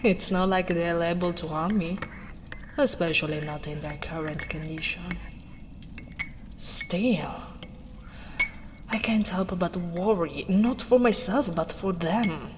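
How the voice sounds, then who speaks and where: A big, strong but tender ogress, acted by a woman, speaks slowly in a soft, lowish voice. She is caring and lightly teasing, in an intimate ASMR roleplay. There is clear ambient noise behind her. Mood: caring, musing. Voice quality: soft-spoken. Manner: soothing, unhurried, gently teasing. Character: gentle big strong female ogre, caring, teasing rescuer. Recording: noisy storm ambience behind voice.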